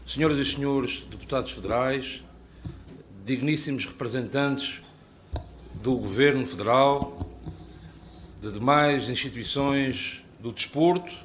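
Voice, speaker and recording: A man's voice: mid-range voice, a little hiss or hum. A middle-aged man with an Azorean Portuguese accent, giving a formal, ornate speech at a parliamentary hearing and building long rhetorical lists. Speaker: invited speaker at a parliamentary hearing. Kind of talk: formal public address.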